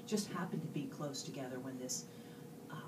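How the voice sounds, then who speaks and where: A woman's voice: very deep voice, brisk, far from the mic, background noise. A woman author telling a rambling, jokey story to an audience at a bookstore event, breaking off and restarting as she goes. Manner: rambling, self-interrupting, jokey. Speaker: author on a panel.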